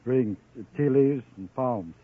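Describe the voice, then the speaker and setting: A man's voice: medium pitch, measured pace. An American man from Philadelphia answering as a quiz show contestant, telling stories plainly and politely. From Philadelphia, Pennsylvania. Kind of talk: conversational storytelling in an interview. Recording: old radio broadcast, tinny and noisy.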